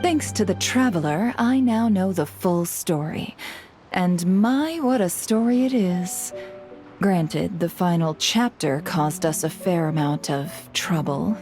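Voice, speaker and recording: A woman's voice: lowish voice, slow pace, darkish tone, soft background music. A woman playing a composed, shrewd guild leader in a video game, with a cool, casually assured delivery. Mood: wry, matter-of-fact. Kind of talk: dramatic video game voice acting.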